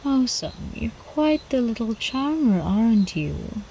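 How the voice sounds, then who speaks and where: Woman playing a slow, velvety vampire countess. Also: on the high side, very animated, warm tone, a little hiss or hum, heavily acted. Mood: coy, teasing. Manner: slow, refined, coyly teasing, formal old-fashioned diction. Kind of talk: ASMR roleplay acting.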